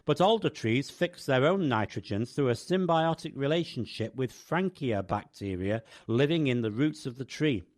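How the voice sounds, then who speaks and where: A man's voice: medium pitch, lively intonation, normal speed, hardly any pauses, darkish tone. A man narrating a scripted first-person radio piece as its host.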